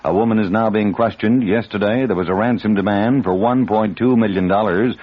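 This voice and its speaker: American guy reading 70s radio news, low voice, formal, slightly dull old broadcast sound. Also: a little fast. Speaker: radio newsreader. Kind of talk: scripted 1970s hourly news bulletin.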